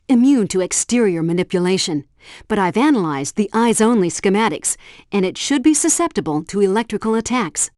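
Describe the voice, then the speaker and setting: A woman's voice: mid-range voice, darkish tone, dry recording, clean studio sound, natural voice. A woman playing a composed, helpful spy agency helpdesk operator in a video game, giving scripted instructions in a precise briefing style. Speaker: mission helpdesk guide. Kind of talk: scripted game tutorial narration.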